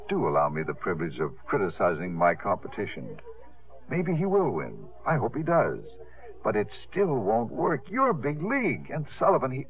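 Suave devoted gentleman, lowish voice, very varied intonation, 1950s radio play, tinny. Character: suave, devoted theatre gentleman courting his star. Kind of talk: 1950s radio drama acting and narration.

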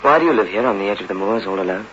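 An actor playing a bewildered young escaped convict in a 1960s radio drama, speaking haltingly and with anguish. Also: male voice, fairly low pitch, expressive, fairly bright tone. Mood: bewildered, urgent. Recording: muffled, noisy old radio recording.

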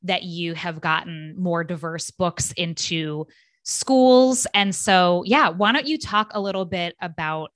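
Woman, podcast host, casual chatty talk, bright tense tone, dry close sound. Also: lowish voice, measured pace, no echo. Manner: chatty, many fillers. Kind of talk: casual interview chat.

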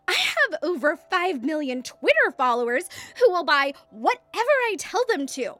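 An actress playing a spoiled young former child star in an audio drama, with an entitled, petulant manner. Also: female voice, sits high, very animated, moderate pace, fairly bright tone, no room sound. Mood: indignant. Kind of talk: scripted audio drama acting.

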